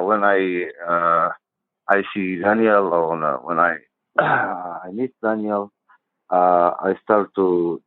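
A man's voice: low-pitched, no echo. A Palestinian man with an Arabic accent, a native Arabic speaker, talking hesitantly in simple English as an interview guest and searching for words. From east of Jerusalem, Judean desert. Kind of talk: halting personal account in conversation. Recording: muffled narrow-band phone-like line.

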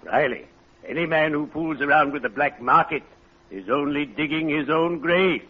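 A man's voice: fairly high pitch, moderate pace, slightly rough. A man acting a genteel, cheerfully morbid undertaker in a 1940s American radio comedy, with formal, unctuous delivery. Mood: solemn, courtly. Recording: muffled, noisy old radio recording.

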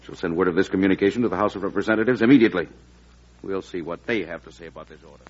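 A man's voice: low voice, very fast, a little hiss or hum, natural voice. A man playing a stern, guarded, authoritative cabinet secretary in old-time radio drama. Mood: defiant, stern. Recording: dull vintage radio broadcast.

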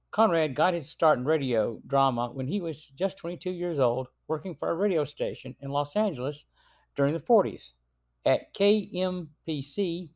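A man's voice: medium pitch, a little mellow. A man hosting a podcast, narrating a scripted review in a solo reading.

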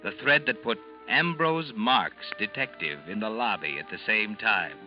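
A man playing a sardonic, knowing narrator of a 1940s radio thriller, speaking deliberately and ominously. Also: fairly low pitch, natural voice. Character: sardonic, omniscient male narrator addressing the guilty character. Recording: muffled old radio recording, loud music behind.

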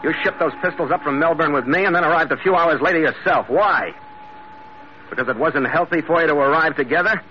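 A man's voice: on the high side, fairly quick, close to an everyday voice. A man acting in an old-time radio adventure, playing a tough, wry sea captain who narrates in a blunt, clipped way. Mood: hard, demanding. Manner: hard-boiled, blunt, clipped. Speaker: lead actor and first-person narrator. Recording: noisy, muffled vintage radio recording.

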